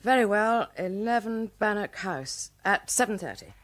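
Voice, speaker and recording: An actress playing the loyal, spirited wife of a British detective, with an expressive, polite manner in a radio drama. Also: female voice, medium pitch, normal speed, close to an everyday voice. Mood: polite, helpful.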